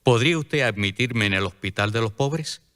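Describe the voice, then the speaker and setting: A man's voice: medium pitch, animated, normal speed, fairly bright tone, lightly acted. An actor playing a repentant young nobleman turned humble helper, speaking earnestly and formally in radio drama style. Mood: pleading, repentant.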